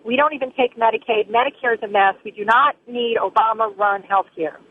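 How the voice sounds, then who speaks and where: A woman's voice: on the high side, steady tone, a little fast, slight background noise. Middle aged lady phoning in to talk radio, tinny line, punchy and opinionated. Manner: emphatic, short punchy declarative sentences. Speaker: call-in listener, family physician. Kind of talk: earnest opinionated call-in talk. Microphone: telephone. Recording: narrow tinny phone line.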